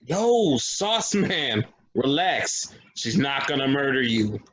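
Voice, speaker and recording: A man's voice: medium pitch, sing-song, slow, fairly bright tone, a little hiss or hum. An actor playing an easygoing, curious young man with a casual delivery, in a table reading of a stage play. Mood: calming, puzzled. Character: easygoing, curious young guy keeping peace.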